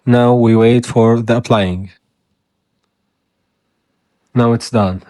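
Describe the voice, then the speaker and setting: A man whose first language is Arabic talks slowly through a screen-recorded tutorial. His voice is mellow and soft-edged. He explains one step at a time while he clicks. The sound is dry and close, with no room around it. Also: mid-range voice, fairly even intonation, no echo. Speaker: tutorial host.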